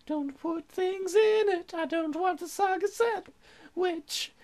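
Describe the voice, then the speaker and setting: A man voicing a silly, flirty female statue in a slow, stretched falsetto during a game. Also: a little mellow. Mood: silly flirting.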